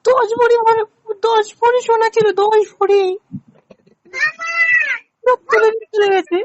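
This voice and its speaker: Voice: extremely high pitch, fairly even intonation, unhurried, dry recording, voice pushed up. A prank caller voicing a pestering, whiny village woman who pleads and rambles on a phone call recording. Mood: insistent, rambling. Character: pestering, whiny village woman hunting her runaway lover.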